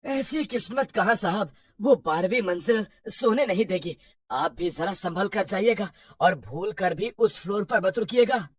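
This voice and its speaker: A man playing a chatty, spooked night watchman in a horror cartoon, giving animated, deferential warnings. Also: sits high, unhurried, breathy edge, exaggerated voice. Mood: ominous warning. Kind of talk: horror cartoon story acting.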